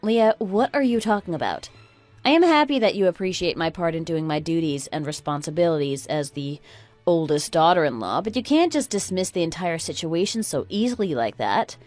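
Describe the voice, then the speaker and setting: A woman playing a fed-up, sharp-tongued married woman in a radio drama, speaking in an emphatic, scornful, lecturing manner. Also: fairly low pitch, lively intonation, moderate pace, faint music behind, close to an everyday voice. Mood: firm, exasperated. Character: fed-up, sharp-tongued oldest daughter-in-law, a divorcing breadwinner. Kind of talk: scripted radio drama acting.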